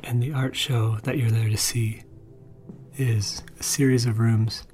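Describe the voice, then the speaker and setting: A man gently introducing a bedtime podcast episode in an unhurried way, speaking directly to listeners. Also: medium pitch, flat delivery. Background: music.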